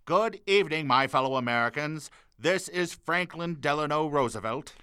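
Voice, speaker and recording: A man's voice: a little high, expressive, moderate pace, over loud music, close to an everyday voice. A man playing a pompous middle-aged wartime president with petty grievances, in a parody of a 1940s radio address. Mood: folksy, stately. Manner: formal broadcast oratory sliding into grumbling.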